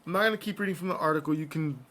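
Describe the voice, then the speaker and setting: A man hosts a casual podcast in a slightly raised register. His voice is a little hoarse and scratchy, and his delivery is playful and jokey as he moves from banter into reading the news. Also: fairly high pitch, racing. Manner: playful, joking, gives shout-outs. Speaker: podcast host.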